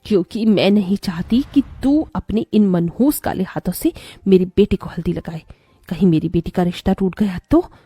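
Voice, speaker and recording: A woman voicing a sharp-tongued, scornful middle-aged mother in a dramatic cartoon story, scolding and taunting. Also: mid-range voice, normal speed, husky, no echo, natural voice. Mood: scornful, accusing. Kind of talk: Hindi cartoon story voice acting.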